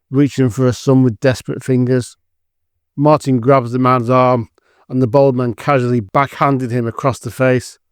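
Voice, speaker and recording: A man's voice: mid-range voice, smooth, no room sound, studio quality. A man narrating a scripted audio drama as storyteller.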